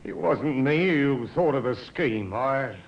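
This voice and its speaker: An actor playing a defensive crooked mechanic in an old radio crime drama, with an English accent and colloquial, stammering speech. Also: male voice, medium pitch, animated, takes it slowly, muffled recording. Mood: sullen confessing. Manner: colloquial, stammering under pressure. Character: defensive crooked car mechanic, blaming his partner.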